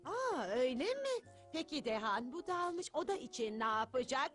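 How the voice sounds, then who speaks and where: A fussy cartoon mother. Her voice is very high and lively, rising and falling with motherly exasperation, over background music. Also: moderate pace. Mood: scolding. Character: exasperated, scolding cartoon mother of a mischievous boy. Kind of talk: cartoon dub acting. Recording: music behind the voice.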